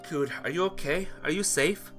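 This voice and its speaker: A man's voice: a little high, moderate pace, fairly bright tone, soft background music, voice pushed up, natural voice. A man playing an earnest, caring teenage boy in a visual novel, a little hesitant and worried.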